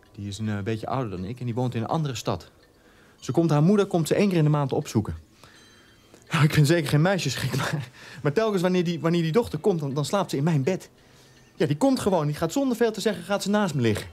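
A bitter neglected son in a radio play, really lively pitch, talks kinda halting. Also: male voice. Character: bitter German son born 1960, neglected by his father. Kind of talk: radio drama monologue.

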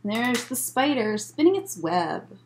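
A woman in her thirties reading a picture book aloud as a children's librarian, in measured phrases with chatty asides. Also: on the high side, very animated, a little hiss or hum. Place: library room, indoors.

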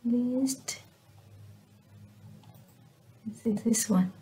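A woman presents a software tutorial in a somewhat high voice. She is a native Vietnamese speaker and explains the task step by step. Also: extremely slow, slight background noise.

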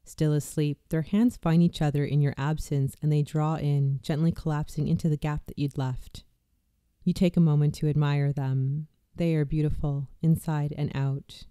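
A meditation guide narrates a guided visualization with a deeply mellow, dark-toned voice. The delivery is slow, soft and soothing, sometimes dropping to a hush. The sound is smooth and dry, with no room echo. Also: little pitch movement.

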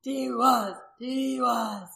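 A woman voicing a hostile male pagan warrior in a story, declaiming forcefully as in a heated argument. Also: mid-range voice, fairly even intonation, a little fast, lightly acted. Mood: crying out.

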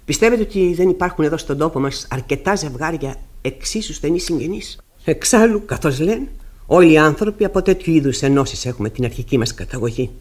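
An actress playing a bitter, haunted middle-aged widow in a classic radio drama, with an emphatic, theatrical delivery. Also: female voice, sits low, expressive, darkish tone. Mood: challenging.

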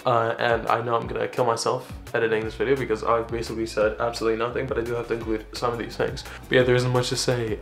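A young man vlogging casually, rambling and swearing, getting loud and excited at times. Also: medium pitch. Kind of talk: casual gym vlog talk. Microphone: camera mic.

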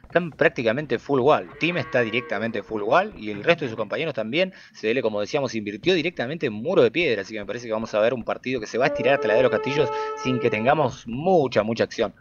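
Fast-talking Argentine man on lo-fi voice chat. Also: mid-range voice. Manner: fast, steady analytical reads. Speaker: co-caster. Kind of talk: live game commentary over voice chat. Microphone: voice chat headset. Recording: dull lo-fi voice chat audio.

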